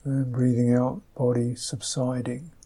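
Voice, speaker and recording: Guy leading a meditation, super soft dark voice, bit husky, really slow n gentle w pauses. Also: medium pitch, flat delivery. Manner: gentle, unhurried, halting phrases with long pauses. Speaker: meditation teacher. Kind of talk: guided meditation instruction.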